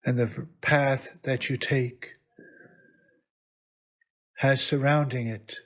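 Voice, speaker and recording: A man leading a guided meditation as a priest, speaking reverently in short phrases with long pauses between them. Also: mid-range voice. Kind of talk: guided meditation, spoken prayer reflection.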